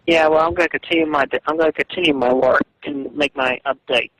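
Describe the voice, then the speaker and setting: A man on a phone call, arguing defensively in a halting, repetitive way. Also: on the high side, fairly even intonation, a bit bright, dry recording. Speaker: webcomic artist. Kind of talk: phone argument. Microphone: telephone.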